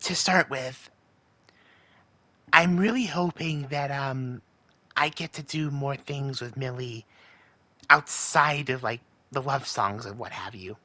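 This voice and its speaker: A man's voice: medium pitch, slow pace, pauses often, sharp tone, no room sound. A man voicing a nervous, lovestruck cartoon imp husband who rambles in an anxious, hesitant way. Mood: nervous, tender. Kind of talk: in-character fan monologue in cartoon style.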